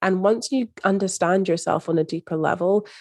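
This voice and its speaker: A woman with a soft, hard-to-place Scottish accent who calmly explains ideas as a coach being interviewed. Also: on the low side, no echo, studio quality. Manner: calm, measured, coaching tone. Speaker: mindset coach, podcast guest.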